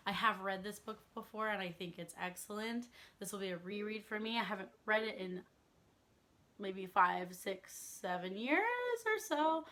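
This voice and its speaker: A young woman talks casually to her viewers about books, her voice still a little hoarse as it recovers. She is chatty and laughs often, with a mid-range pitch. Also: normal speed, pushed and bright. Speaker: book vlogger. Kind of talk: casual chat to camera.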